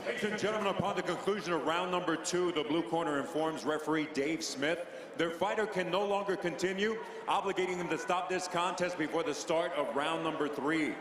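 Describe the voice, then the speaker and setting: A man working as ring announcer, calling out a fight result in a drawn-out, rising announcer delivery. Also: fairly high pitch, crowd noise. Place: indoor arena. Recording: echoey arena with loud noise.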